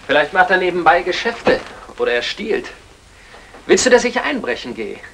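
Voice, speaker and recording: Casting: sarcastic husband, animated swings in pitch, old radio play with faint noise. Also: male voice, moderate pace, creaky, full character voice. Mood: irritated, sarcastic. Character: irritable, sarcastic football-mad husband. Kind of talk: 1960 German crime radio drama acting. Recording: vintage radio drama, clicks, faint noise.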